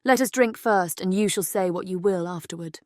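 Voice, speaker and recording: A woman's voice: on the low side, moderate pace, a little mellow, no room sound, studio quality, close to an everyday voice. A woman playing a devoted young fairy-tale princess in a dramatized audiobook, speaking in formal, old-fashioned phrases. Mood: feigned welcome. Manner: formal, archaic storybook phrasing. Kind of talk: dramatized audiobook character acting.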